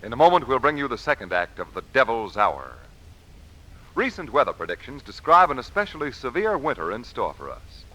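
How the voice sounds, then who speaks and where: Bright, lively vintage radio pitchman. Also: a little fast, low-quality recording. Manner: polished, emphatic salesman delivery. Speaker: radio announcer. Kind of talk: scripted vintage radio advertisement. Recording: hissy vintage radio recording.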